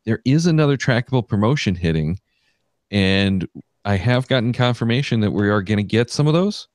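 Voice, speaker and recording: Chatty male podcast host, low voice. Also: lively intonation, fairly quick, no echo, clean studio sound. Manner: chatty, many fillers and restarts. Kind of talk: casual podcast chat.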